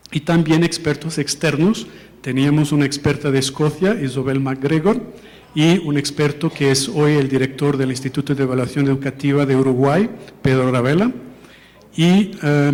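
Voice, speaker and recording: A man's voice: fairly high pitch, a little mellow. Portuguese man presenting in a hall. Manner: careful, hesitant, frequent fillers and self-corrections. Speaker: presenter of an education policy report. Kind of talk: formal presentation to an audience. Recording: long reverb of a large hall.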